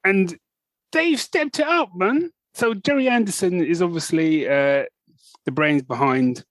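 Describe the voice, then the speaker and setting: A man's voice: sits high, measured pace, no echo. A man co-hosting a podcast, teasing his partner in playful casual banter. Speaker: podcast co-host. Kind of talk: casual chat.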